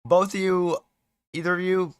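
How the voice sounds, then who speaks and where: Slightly hoarse, higher-pitched man livestreaming. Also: level tone, dry recording, studio quality. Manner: halting, repeats and restarts phrases. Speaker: livestream host, gamer. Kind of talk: casual livestream chat.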